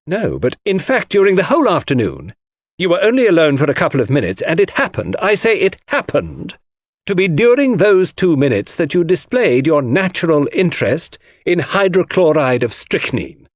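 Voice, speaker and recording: Man playing a pointed, sarcastic courtroom barrister, raised pitch. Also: very animated, no echo, clean studio sound. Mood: sardonic, pressing. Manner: emphatic, deliberate, pointed. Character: forceful, sarcastic male senior barrister cross-examining in court.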